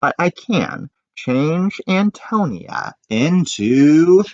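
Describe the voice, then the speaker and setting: A man's voice: on the high side, big pitch swings, extremely slow, a little mellow, lo-fi sound, voice pushed up, exaggerated voice. A man voicing a clever young village woman in a storybook, sensible and scolding, giving warnings. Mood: knowing. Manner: warning, matter-of-fact.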